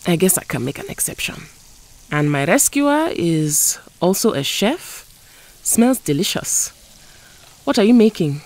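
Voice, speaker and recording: A woman's voice: on the low side, very animated, a little slow, a little mellow. A woman playing a proud, guarded mutant heroine in an audio drama, with music under her voice. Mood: flirtatious, coy.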